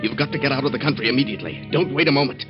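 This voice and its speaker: An actor playing a brisk, scheming male friend in the style of 1940s radio drama. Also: mid-range voice, over music, lightly acted. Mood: urgent. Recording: dull, muffled 1940s radio recording.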